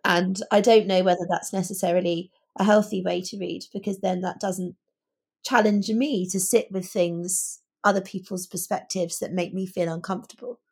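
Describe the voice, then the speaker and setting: Low-voiced, husky woman discussing books. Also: fairly even intonation, normal speed, a little mellow. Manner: reflective, articulate, questioning. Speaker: podcast co-host, teacher. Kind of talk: thoughtful book discussion.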